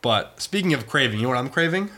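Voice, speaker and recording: A man's voice: mid-range voice, lively intonation, fast talker, pushed and bright. A young man co-hosting a podcast, stuffed up with a cold, joking and playfully reading ad copy. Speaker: podcast co-host. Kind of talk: casual podcast chat.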